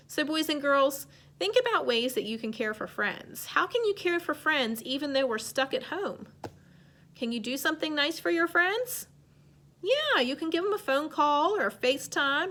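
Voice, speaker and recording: High-voiced woman teaching young children. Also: pushed and bright. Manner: instructive, asks questions and answers them, simple child-directed phrasing. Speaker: elementary school teacher. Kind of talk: read-aloud lesson addressed to young children.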